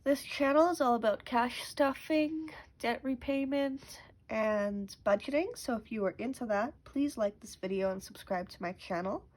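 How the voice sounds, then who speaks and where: A woman's voice: a little high, normal speed. Sick young woman chatting. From Canada. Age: young adult. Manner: chatty, counts money aloud. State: sick with a cough. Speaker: budgeting channel host. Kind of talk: casual chat while counting cash. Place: at home.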